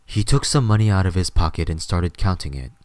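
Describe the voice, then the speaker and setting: A man's voice: low-pitched, lively intonation, a little fast, darkish tone, dry recording. A man reading scripted story narration as the narrator of an audio drama.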